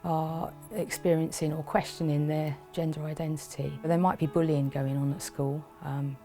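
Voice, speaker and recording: Low, mellow woman over music. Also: little pitch movement, normal speed. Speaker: support service worker. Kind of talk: interview-style explanation for a promotional film. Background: background music.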